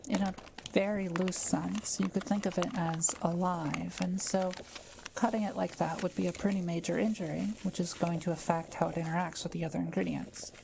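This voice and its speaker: A woman playing a kind, quiet witch in an audio roleplay, speaking gently and carefully as she reassures and explains things to the listener. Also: lowish voice, fairly even intonation, moderate pace, gravelly, background noise. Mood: patient, explaining. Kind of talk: soft-spoken roleplay audio, talking to the listener.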